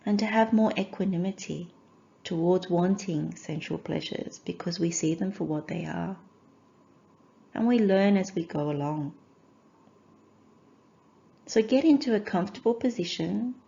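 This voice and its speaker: Woman leading a guided meditation, soft mellow tone, gentle short phrases, kinda muffled lo-fi audio. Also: on the low side. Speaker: meditation teacher.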